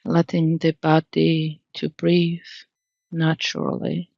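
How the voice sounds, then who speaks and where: Slow, even-toned woman guiding meditation. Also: low-pitched, gravelly, no room sound, muffled recording. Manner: calm, soothing, long pauses between phrases. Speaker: meditation guide. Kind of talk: unscripted guided meditation.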